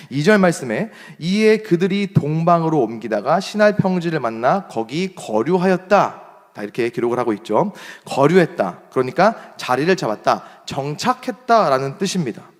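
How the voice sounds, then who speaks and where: A young adult man preaching a sermon as pastor, emphatic, rising to strong points and dropping to soft asides. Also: on the high side. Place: church sanctuary. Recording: long hall reverb.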